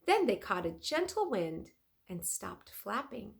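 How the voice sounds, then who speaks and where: A woman reads a children's chapter book aloud like a teacher to a class. Her delivery is unhurried and deliberate, with lively rises and falls in pitch. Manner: deliberate, clearly phrased, animated. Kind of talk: expressive children's storybook read-aloud.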